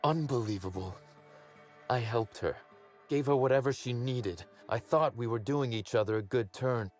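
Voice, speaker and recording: An actor playing a polished underworld boss in a video game, speaking formally and articulately with wry menace. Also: male voice, mid-range voice, normal speed, faint music behind, natural voice. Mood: cold anger. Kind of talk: video game character dialogue.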